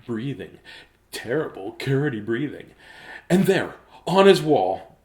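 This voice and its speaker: A man's voice: mid-range voice, sing-song, measured pace, a little mellow. A man reading a children's bedtime story theatrically, slipping into whispers and repeating words for drama.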